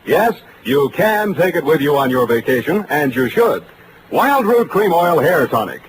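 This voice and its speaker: A man's voice: a little high, very animated, normal speed, background noise. An American man announcing and advertising on 1940s radio in a polished, emphatic sales style. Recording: vintage 1940s radio broadcast. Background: music.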